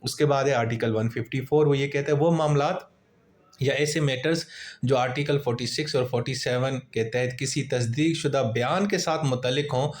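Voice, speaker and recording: A man's voice: mid-range voice, normal speed. A man with a Pakistani accent lecturing on law in an informal, conversational way, explaining through everyday examples.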